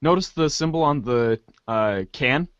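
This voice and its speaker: Animated man bantering casually, unhurried pace. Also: mid-range voice, clean tone, dry recording, dull audio. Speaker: co-performer in a readers' theater show.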